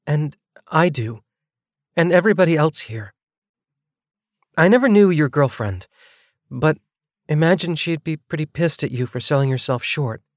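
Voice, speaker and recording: A man's voice: on the high side, lively intonation, normal speed, pauses often, no room sound, clean studio sound, voice pushed up, close to an everyday voice. A man voicing an earnest, challenging young woman in an audiobook, insistent and emotionally direct. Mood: quiet, earnest.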